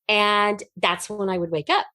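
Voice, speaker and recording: A middle-aged woman telling personal stories as an interview guest, animated and acting out the remarks she quotes. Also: medium pitch, measured pace, dry recording, studio quality. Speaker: guest, writer.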